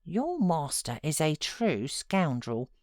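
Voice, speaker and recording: Female narrator as a scolding housekeeper, indignant, measured pace, lowish pitch, audiobook drama. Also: sing-song, a little mellow, dry recording, studio quality, full character voice. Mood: indignant, threatening. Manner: forthright, reproachful.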